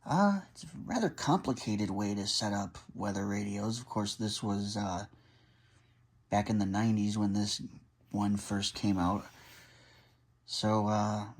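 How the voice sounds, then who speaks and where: A man with a fairly low voice talks through setting up a gadget in a casual, unscripted way. He thinks out loud, reading items off one by one and adding his own short comments as he goes, like a hobbyist vlogger. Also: a little slow. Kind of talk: casual hands-on unboxing and setup narration.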